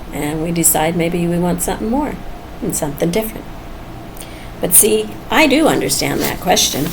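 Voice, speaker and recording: An older woman, around seventy, speaks slowly in a low, gravelly voice. She muses candidly and casually, as in a personal vlog, with clear background noise behind her. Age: almost 70. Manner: candid, musing, chuckles often. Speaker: vlogger. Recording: noisy background ambience.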